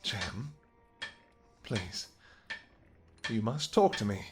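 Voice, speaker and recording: A man playing a devoted vampire king in an intimate audio roleplay, speaking earnestly and gently in halting, formal, old-fashioned words. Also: fairly low pitch, very animated, over music, exaggerated voice. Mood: worried, pleading. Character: devoted vampire king, tender, anxious, apologetic husband.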